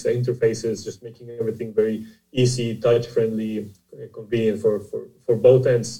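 Very dark-voiced Costa Rican man. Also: mid-range voice, low-quality recording. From Costa Rica. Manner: halting, repeats words while explaining. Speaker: guest, startup CEO. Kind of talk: conversational interview.

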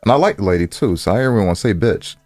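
American guy podcast cohost, low voice that swings around a lot, slangy casual banter, swears freely, dry close sound. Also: lively intonation, rapid-fire, a little mellow, dry recording, soft background music. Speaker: podcast co-host.

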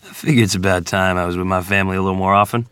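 Low, quick actor as plain-spoken ferryman. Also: steady tone, fairly bright tone, clear, resonant tone. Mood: wry, warm. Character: Ray, plain-spoken rural ferryman, husband and father. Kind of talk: screenplay table read.

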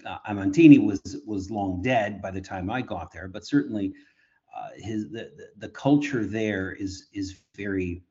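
A man's voice: fairly low pitch, dark tone. Lo-fi remote priest guest, man. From Canada. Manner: measured, restarts words often. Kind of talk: remote interview answers. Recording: dull lo-fi remote audio.

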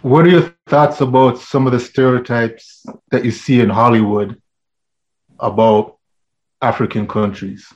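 A man's voice: mid-range voice, steady tone, unhurried. A man hosting an interview, asking short questions and giving brief replies. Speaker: interview host. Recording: dull lo-fi sound.

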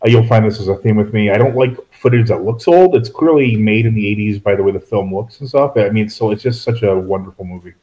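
Low-voiced man chatting about movies. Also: fast, few pauses, warm tone, rough recording. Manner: rambling, self-correcting, dry asides. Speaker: podcast co-host. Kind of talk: casual movie chat.

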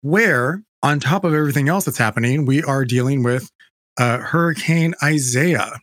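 A man hosting a theater podcast from his Manhattan apartment, animated and sing-song as he explains the show. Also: medium pitch, normal speed, no room sound, studio quality. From Mexican family, from California. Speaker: podcast host.

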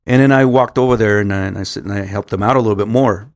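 A man's voice: fairly low pitch, fast talker, dry recording. A man, a Spanish speaker, telling a casual story to viewers in a rambling, repetitive, stop-start way peppered with swearing. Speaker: vlogger.

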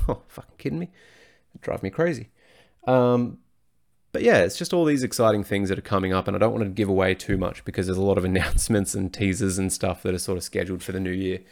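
Hoarse man, low voice. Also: darkish tone. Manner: frank, heavy casual swearing. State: hoarse, losing his voice. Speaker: personal trainer and podcast host. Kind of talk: casual solo podcast talk.

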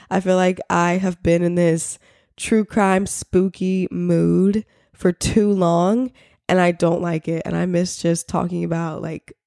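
A young woman hosting a casual podcast while sick, her voice congested, nasal and raspy, chatting with lots of fillers. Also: fairly low pitch, a little mellow, no room sound, studio quality. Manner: chatty, filler-heavy, with little laughs. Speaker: podcast host. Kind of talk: casual solo podcast chat.

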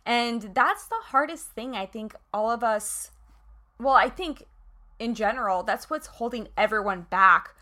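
Bright-voiced chatty woman host. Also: fairly high pitch, measured pace. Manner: chatty, rambling, lots of "like" and "you know". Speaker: podcast host. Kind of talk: casual interview chat.

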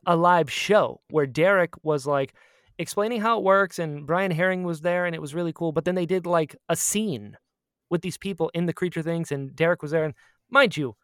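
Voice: mid-range voice, dry recording. Casual podcast co-host, androgynous mid-range voice, gushing and anecdotal, dry recording. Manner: gushing fan enthusiasm, repeats phrases for emphasis; acts out quoted exchanges in storytelling. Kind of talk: casual chatty podcast catch-up.

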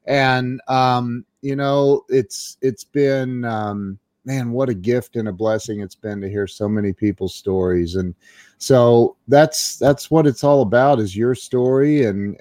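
A man's voice: mid-range voice, dry recording. Upbeat male podcast host, dry mic. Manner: upbeat, chatty, with halting restarts. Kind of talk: casual interview.

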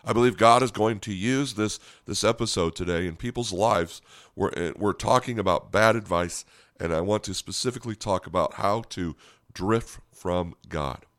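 A low, slightly hoarse male voice with an American accent, recorded close and dry. He is a pastor hosting a podcast, preaching conversationally and putting earnest, direct questions to his listeners. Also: normal speed, fairly bright tone, dry recording.

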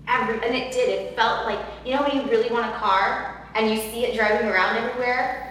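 A woman with a loud voice, telling her personal story earnestly as a guest in an interview, with many fillers and repeats. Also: fairly high pitch, fairly even intonation, fast. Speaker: podcast guest. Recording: echoey hall from far off, faint noise.

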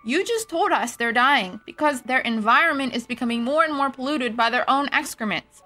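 A woman's voice: high voice, lively intonation, normal speed, sharp tone, faint music behind. An actress playing a skeptical, principled female doctor in an audio drama, questioning and challenging. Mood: disapproving, challenging.

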